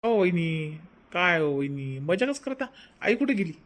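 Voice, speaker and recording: A woman's voice: lowish voice, very animated, moderate pace, voice pushed down. Casual, demanding young brother-in-law for a family cartoon, played by a woman: dropped male register, faint noise behind. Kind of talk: homely family cartoon voice acting.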